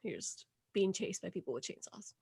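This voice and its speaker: A woman's voice: mid-range voice, lively intonation, fairly quick, vocal fry, mellow, husky, no echo. Woman co-host, born in Venezuela, raised in Miami, bubbly, laughs a lot, dry close sound. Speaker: podcast co-host. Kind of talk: casual conversation.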